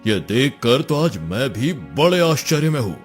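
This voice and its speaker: A man's voice: medium pitch, sing-song, a little slow, faint music behind. A man voicing an imperious god of death holding court in a cartoon moral story, with a stately, theatrical delivery. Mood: imperious, astonished.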